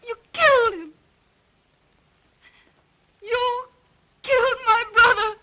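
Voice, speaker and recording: A woman's voice: very high voice, steady tone, takes it slowly, bright, forceful tone, highs cut off, exaggerated voice. An actress playing a distraught young woman in an old melodrama, accusing someone, on a noisy vintage soundtrack. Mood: hysterical grief.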